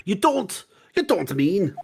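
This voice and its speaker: A man's voice: high voice, very animated, slow pace, creaky, a little hiss or hum, exaggerated voice. A man playing a flustered, easily baffled old judge in a live dub of a courtroom game.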